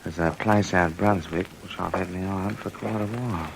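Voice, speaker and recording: An actor playing a truculent Australian housebreaker and ringleader in an old radio crime drama, curt, slangy and bullying. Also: male voice, sits low, fairly even intonation, normal speed, creaky, slight background noise. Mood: wary, brazen. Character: cocky, truculent male housebreaker, ringleader with a gun.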